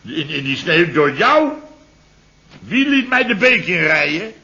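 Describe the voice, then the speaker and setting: A man's voice: fairly high pitch, very animated, measured pace, bright, forceful tone, heavily acted. A man playing a blustering, irritable middle-aged boss in a 1960s radio play who keeps protesting and grumbling. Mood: exasperated. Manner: blustering, indignant, exclaims "te helle". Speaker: the boss ("chef"). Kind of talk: 1960s radio drama acting. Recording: dull lo-fi old radio recording with faint hiss.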